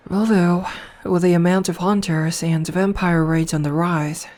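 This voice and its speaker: A woman's voice: on the low side, steady tone, close to an everyday voice. A woman voicing a teasing vampire princess. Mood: musing. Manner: slow, soft, teasing and flirtatious. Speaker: voice actor. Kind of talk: ASMR audio roleplay. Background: faint music.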